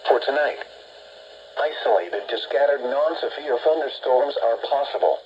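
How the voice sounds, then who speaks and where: A man's voice: mid-range voice, moderate pace, breathy edge, dull audio. A synthetic male voice reading a scripted weather forecast formally, played through a small radio speaker. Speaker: automated weather radio announcer. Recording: noisy weather radio playback.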